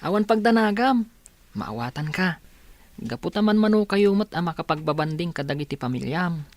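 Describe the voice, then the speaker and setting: A man's voice: fairly high pitch, animated, moderate pace. A man acting an obliging, understanding young student in an audio drama. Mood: easygoing.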